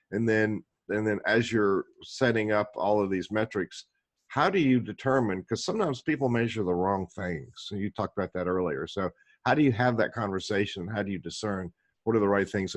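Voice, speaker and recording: A man hosts an interview in a lowish, slightly hoarse and froggy voice, recorded dry and close. He thinks aloud as he goes, rambling conversationally toward his questions. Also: no echo. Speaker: interview host.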